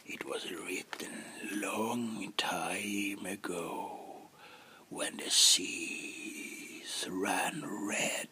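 A man playing a sinister demon in an ASMR roleplay, whispering slowly and theatrically with a gravelly, breathy menace. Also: sits low, steady tone, pushed and bright, full character voice. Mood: menacing, solemn. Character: sinister male demon brewing dark potions.

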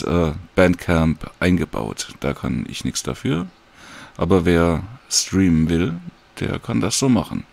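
A man casually and hesitantly talks viewers through a screen recording in an informal, unhurried tutorial. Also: deep voice, vocal fry. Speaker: audiobook creator giving a download tutorial.

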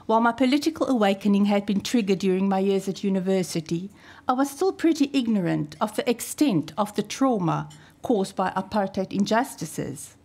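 A woman's voice: mid-range voice, animated, a little mellow. South African woman reading a formal lecture. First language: Afrikaans. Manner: measured, formal reading with deliberate emphasis. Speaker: archivist giving a talk. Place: wood-panelled lecture hall, indoors. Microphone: podium microphone.

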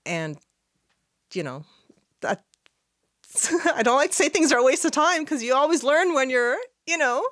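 A woman in her thirties from Canada, whose first language is Arabic, chatting as a podcast guest in a small studio on a boom mic, laughing often. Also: animated, measured pace, long pauses, fairly bright tone, no echo. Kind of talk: casual interview chat. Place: small studio with red curtains. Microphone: studio mic on boom arm.

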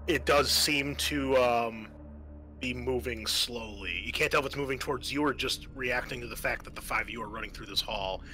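Bright-voiced man as sweary game master. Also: mid-range voice, slight background noise. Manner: casual, sweary. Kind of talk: running a tabletop roleplaying game.

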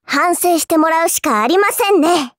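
A dainty little game-character girl speaks in an extremely high, cutesy voice. Her words are prim and polite, yet laced with teasing condescension and a cruel streak. The sound is close and dry. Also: lively intonation, normal speed, dry recording. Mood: sweetly mocking. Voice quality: childlike, cutesy. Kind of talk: Japanese mobile game character voice lines.

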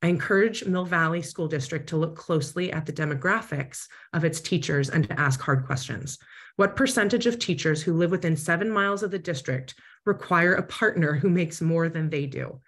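A woman's voice: sits low, moderate pace. A woman firmly reading a prepared public comment by video call, with pointed emphasis. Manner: firm, pointed delivery.

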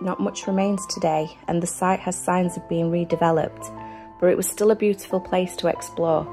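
A young woman who hosts an exploring vlog. She talks in a relaxed, chatty way, with fillers, and also reads narration. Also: on the low side. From United Kingdom. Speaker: urban exploration vlogger. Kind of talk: casual vlog chat and scripted narration.

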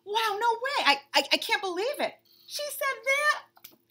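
A woman voicing an excitable, impatient, needy male bunny from a picture book, with dramatic, exclamatory delivery. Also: very high voice, pitched above the performer's own voice, full character voice. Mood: excited, chatty. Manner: dramatic, exclamatory, drawn-out emphasis.

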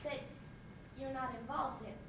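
A woman's voice: high voice, fairly even intonation, normal speed, gravelly. A young woman acting on stage as a pushy, eager bride, insistent and theatrical, in an amateur comedy. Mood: pleading, eager. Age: young adult. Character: pushy, eager bride in her thirties hunting a groom. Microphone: far camera microphone. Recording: distant, echoey, very noisy old stage videotape.

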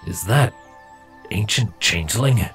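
A man voicing a gruff, protective male changeling captain in a storybook reading, barking and grunting his words. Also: lowish voice, animated, slow pace, fairly bright tone, soft background music, full character voice. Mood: wary.

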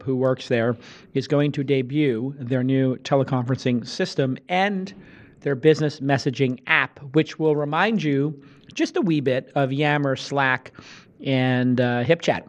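A slightly hoarse man in his forties leads a relaxed podcast chat. He talks with lively energy, gesturing as he goes. His voice sits close on a studio boom microphone. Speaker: podcast host. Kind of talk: casual interview. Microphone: studio microphone on boom arm.

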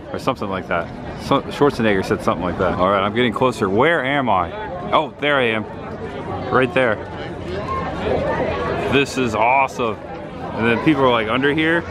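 Chatty man vlogging through busy city streets. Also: medium pitch. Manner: chatty, easily excited, points things out as he walks. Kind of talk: casual walking tour narration. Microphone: handheld camera at arm's length. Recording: loud crowd and street noise outdoors. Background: crowd chatter and traffic.

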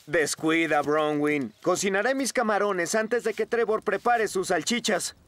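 An actor with a Latin American accent playing a cocky, easygoing young rescue pilot in a cartoon dub, casual and confident. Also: male voice, high voice, unhurried, a bit bright.

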